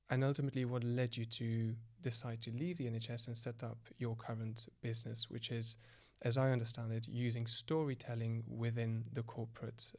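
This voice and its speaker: Flat-toned male podcast host. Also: mid-range voice. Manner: measured, searching for words. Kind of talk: interview questions.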